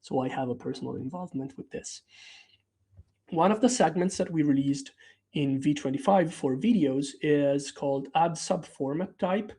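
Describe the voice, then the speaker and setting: A man's voice: fairly high pitch, moderate pace, mellow, slightly rough. A man whose first language is Italian, presenting a technical product update in a conversational way with frequent fillers and restarts. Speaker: developer relations presenter.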